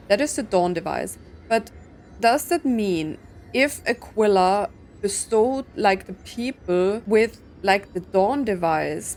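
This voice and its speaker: A woman chats casually through a story game she is playing. She sounds puzzled and thinks aloud, leaning on filler words. Her voice sits at a middle pitch, with some faint noise in the recording. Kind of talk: casual reaction commentary.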